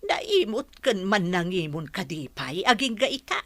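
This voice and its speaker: A Filipino woman playing a concerned, gentle middle-aged mother in a radio drama, coaxing as she talks. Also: mid-range voice, big pitch swings, unhurried, no echo. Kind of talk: Philippine radio drama acting.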